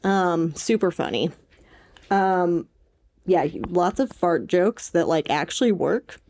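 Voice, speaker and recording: A woman's voice: on the low side, takes it slowly, a little mellow. A young woman co-hosting a podcast in casual chat, explaining things informally and swearing casually. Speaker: podcast co-host.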